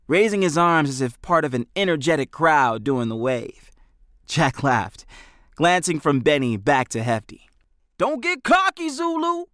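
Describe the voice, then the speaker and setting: A man's voice: mid-range voice, fairly bright tone, no echo. An American man narrating a storybook as an audiobook reader, in scripted style, close on the microphone.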